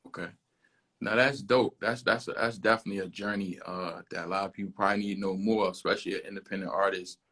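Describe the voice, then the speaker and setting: An American man with a husky, slightly rough voice hosts a casual interview. He speaks informally, with short encouraging reactions and simple questions. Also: low-pitched, fairly even intonation, fast, fairly bright tone. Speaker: interview host.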